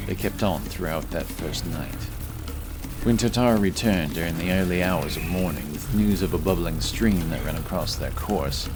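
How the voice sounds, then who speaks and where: A man reading scripted narration for a fantasy story as its narrator. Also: low voice.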